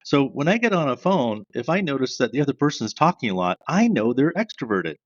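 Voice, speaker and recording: A man from Canada, a sales trainer who is a guest on an interview podcast, explaining ideas step by step with examples. He describes himself as a loud, fast talker. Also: mid-range voice, sing-song, no room sound. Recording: dry, slightly dull sound.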